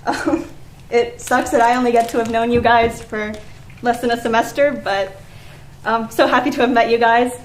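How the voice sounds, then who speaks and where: A woman's voice: on the high side, fairly even intonation, normal speed. A young woman giving an informal tribute speech in an echoey room. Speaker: student cast member giving a tribute. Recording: echoey room with faint noise.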